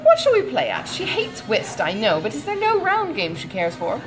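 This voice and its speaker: A woman's voice: sits high, huge pitch swings, moderate pace, over music, poor audio. A woman voicing a chatty, good-natured older matron in a storybook, gushing and rambling with many exclamations. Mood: fussing, sympathetic.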